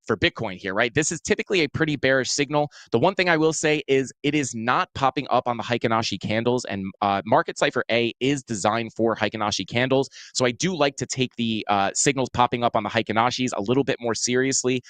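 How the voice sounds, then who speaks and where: A man's voice: medium pitch, fairly bright tone, no room sound. A man hosting a crypto show, talking casually through market charts with many fillers. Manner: casual, filler-heavy, self-deprecating. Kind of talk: casual chart analysis talk. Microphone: clip-on mic.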